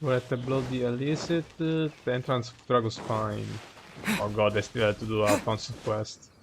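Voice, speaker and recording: Halting male game streamer. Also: medium pitch, little pitch movement, vocal fry, background noise. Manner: halting, informal, rambling. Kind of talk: casual livestream chat over gameplay.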